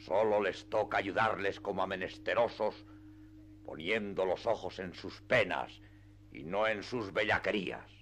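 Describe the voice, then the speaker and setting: An actor playing a proud, indignant knight-errant in a radio play, declaiming in grandiloquent archaic Spanish. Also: male voice, low-pitched, unhurried, a bit bright, a little hiss or hum. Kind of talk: classic radio theatre acting.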